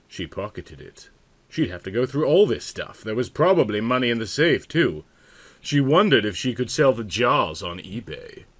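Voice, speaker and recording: A man's voice: mid-range voice, no echo. A man narrating a story in a theatrical, comic reading style, with dramatic, emphatic phrasing.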